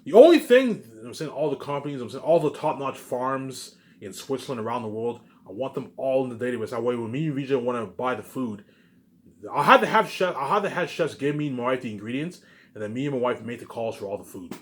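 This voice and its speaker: A man's voice: mid-range voice, lively intonation, fast talker. A man giving an informal, rambling monologue, repeating himself and restarting phrases, with emphatic tag words. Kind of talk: rambling informal monologue about personal plans.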